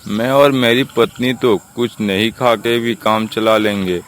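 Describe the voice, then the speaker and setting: A humble, hardworking crow father from a children's cartoon, played by a man. He has a slightly low voice and talks slowly and earnestly. Faint background noise sits behind him. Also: steady tone, natural voice. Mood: humble, pleading. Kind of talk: Hindi children's cartoon story dialogue.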